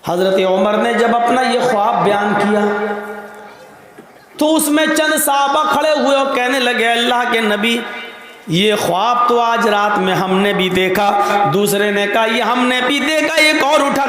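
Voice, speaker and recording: A man's voice: high-pitched, a bit bright. A man preaching an Islamic sermon, teaching emphatically with repeated phrases and rhetorical questions. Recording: echoey hall with long reverb.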